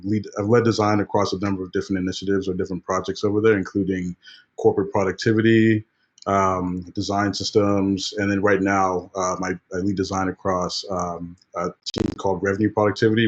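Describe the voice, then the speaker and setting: A man from Nigeria introducing himself on a webinar, hesitant with many fillers. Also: low-pitched. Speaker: webinar panelist. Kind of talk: casual introduction. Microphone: video call. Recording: dull lo-fi video call audio.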